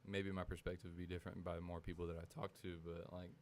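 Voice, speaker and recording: Podcast guest, 20s man, deep voice, agreeable and laid-back, faint hiss. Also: little pitch movement, fast talker. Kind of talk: casual chat.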